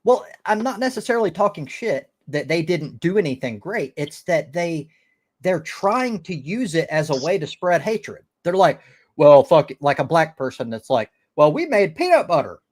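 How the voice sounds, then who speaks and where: A man's voice: fairly high pitch, animated, moderate pace. An American man in casual debate on a live stream, blunt and opinionated, with some swearing.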